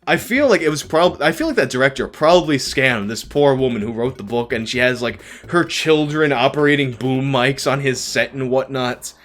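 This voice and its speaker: A man's voice: mid-range voice, normal speed, hardly any pauses, a bit bright, soft background music. A young man answering viewer questions on a livestream, talking in a candid, rambling, profane way. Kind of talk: casual livestream Q&A.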